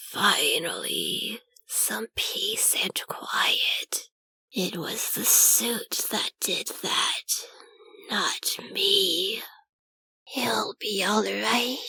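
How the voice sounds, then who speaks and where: A cold, weary puppet animatronic from a horror game, played by a woman. The voice is very breathy and creaky, with a drawn-out, deadpan delivery that menaces quietly. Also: mid-range voice, no room sound, full character voice, poor audio. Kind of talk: video game character voice lines.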